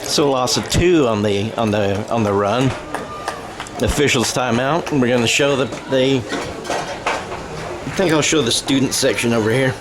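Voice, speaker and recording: Male football play-by-play announcer, folksy and rambling, correcting himself as he goes, recorded outdoors with clear ambient noise. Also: mid-range voice, a little slow, pauses often. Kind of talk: radio-style football commentary.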